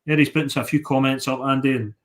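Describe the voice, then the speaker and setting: A man's voice: mid-range voice, fairly even intonation, quick pace. A Scottish man chatting casually as co-host of a chat show.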